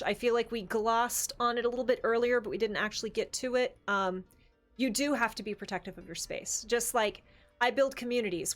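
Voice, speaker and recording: A woman's voice: medium pitch, fairly bright tone. A woman in a casual, opinionated discussion as a co-host, speaking emphatically and repeating phrases to drive points home. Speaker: podcast co-host.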